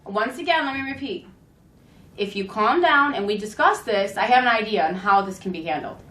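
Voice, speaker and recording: In an echoey room, a woman plays a firm school counselor. Her mid-pitched voice explains things step by step and admonishes, reasoning with a student in an amateur drama. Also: animated, brisk, fairly bright tone, lightly acted. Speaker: school staff member. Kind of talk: amateur school role-play.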